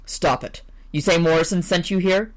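Woman doing a curt, gruff newspaper editor. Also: fairly low pitch, normal speed, natural voice. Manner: curt, brusque, commanding.

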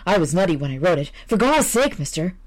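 A woman's voice: on the low side, sing-song, quick pace. A woman voicing a desperate, terrified male gambler who stammers and babbles his pleas. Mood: panicked pleading.

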